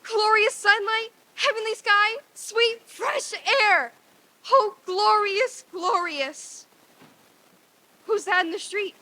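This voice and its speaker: A woman's voice: extremely high pitch, slow, pushed and bright, exaggerated voice, rough recording. A woman playing a reformed, overjoyed old miser in a theatrical old-time radio drama reading, with exclamatory delivery. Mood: giddy delight.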